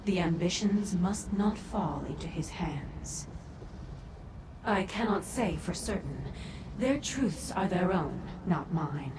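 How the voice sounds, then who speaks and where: Low-pitched demon matriarch, echoing, formal, commanding, solemn game dialogue, noisy backdrop. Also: female voice, lively intonation, takes it slowly, distant mic. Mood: solemn, imperious. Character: commanding, ancient female Dremora daedra of the Deadlands. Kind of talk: scripted video game dialogue.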